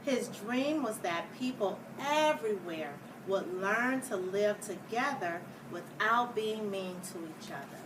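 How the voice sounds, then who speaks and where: A woman reading a children's picture book aloud like a schoolteacher, deliberately, with explanatory asides for young listeners. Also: mid-range voice, animated. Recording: distant mic, clear background noise.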